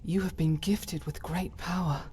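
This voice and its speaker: Female actor for solemn, pious adventurer in fantasy game; deep-set voice, measured archaic delivery. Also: steady tone, dark tone, husky. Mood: wary. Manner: formal, archaic phrasing, measured and earnest. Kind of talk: scripted fantasy game dialogue.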